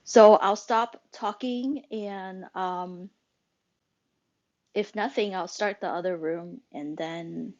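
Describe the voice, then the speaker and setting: Woman, unhurried pace, halting and rambling, casual room host, mid-range pitch, dull online audio. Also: a little mellow. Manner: halting, rambling, thinking aloud. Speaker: host and moderator of a live social audio room. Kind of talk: casual unscripted group chat. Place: live social audio app call.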